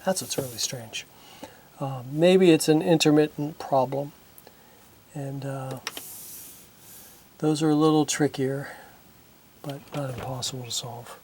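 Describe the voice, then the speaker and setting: A man talking casually through his repair work at a home workbench, thinking aloud and halting with fillers, recorded on a camera microphone. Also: medium pitch, a little mellow, a little hiss or hum. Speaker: hobbyist synth repairer. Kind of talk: casual narration while working. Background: synthesizer being played.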